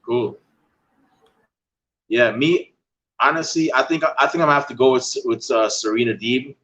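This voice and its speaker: A man's voice: mid-range voice, moderate pace, lo-fi sound. A man from Guyana with a Guyanese accent, hosting a casual wrestling podcast and asking guests for their picks. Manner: relaxed, laid-back, uses y'all. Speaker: podcast host. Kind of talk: casual chat.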